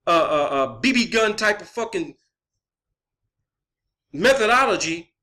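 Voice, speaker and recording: High-pitched, bright-voiced man ranting. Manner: profane, blunt, emphatic. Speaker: online video creator. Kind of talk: unscripted monologue rant.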